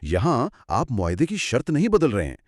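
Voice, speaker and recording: A man co-hosting a scripted explanatory discussion, speaking in a measured, emphatic, didactic way. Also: medium pitch, huge pitch swings, husky, no echo, studio quality. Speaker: co-host explaining law.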